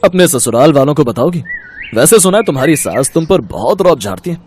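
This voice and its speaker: A man's voice: fairly high pitch, expressive, brisk, a little mellow, a little hiss or hum, natural voice. A man voicing a proud, jovial senior police officer in a cartoon story. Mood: proud, teasing. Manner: praising, jovial. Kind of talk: Hindi cartoon moral story.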